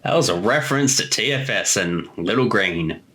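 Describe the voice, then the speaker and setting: Playful commentator with a lively, moving pitch. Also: moderate pace. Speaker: voice actor giving creator commentary. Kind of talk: casual commentary chat.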